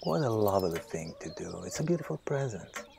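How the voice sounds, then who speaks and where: Suave, gravelly-voiced male writer. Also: lowish voice, big pitch swings, brisk, background noise. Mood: tender, charmed. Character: suave, self-absorbed male writer, softly charming. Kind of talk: audio drama acting.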